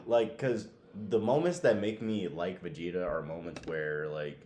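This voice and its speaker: Man, low pitch, podcast co-host, blunt, opinionated, casual swearing and slang, echoey room with faint noise. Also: a little slow, a little mellow.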